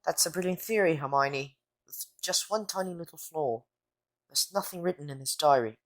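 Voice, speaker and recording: A woman's voice: deep voice, normal speed, natural voice. A woman playing a plucky, teasing young boy wizard in an audio drama, with a dryly joking manner. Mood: dry, questioning.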